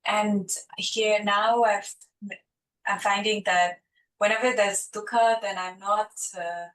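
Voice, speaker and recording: A woman's voice: mid-range voice, steady tone, slow pace, a bit bright, poor audio. A woman from Nepal, asking a question on a video call, hesitant with many fillers and restarts. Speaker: first-time participant asking a question. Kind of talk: online dharma Q&A.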